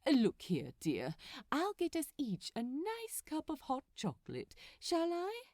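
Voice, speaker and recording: A woman's voice: sits high, wildly swooping pitch, slow, darkish tone, no echo, studio quality, pitched above the performer's own voice, exaggerated voice. A woman voicing a fussy, affectionate, genteel male angel in a storybook reading, with a coaxing manner. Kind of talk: acted dialogue in audiobook reading.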